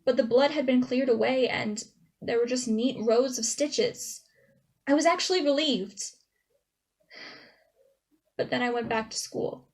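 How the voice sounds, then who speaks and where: High-voiced haunted young woman, acted by a woman. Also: a little mellow. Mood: anguished, tense. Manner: halting, emotional delivery with dramatic pauses. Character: traumatized young woman recounting a childhood dog attack. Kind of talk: dramatic theater monologue.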